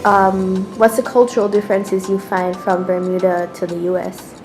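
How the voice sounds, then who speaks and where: A woman's voice: mid-range voice, flat delivery, normal speed. A young woman interviewing students, asking short, friendly questions. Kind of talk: casual street interview. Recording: loud music underneath.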